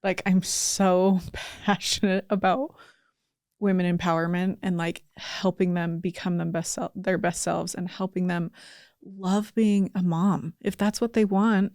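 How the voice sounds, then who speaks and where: A woman speaking casually and earnestly as a podcast guest, pausing mid-thought in heartfelt talk. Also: medium pitch, flat delivery, a little mellow, no echo, studio quality.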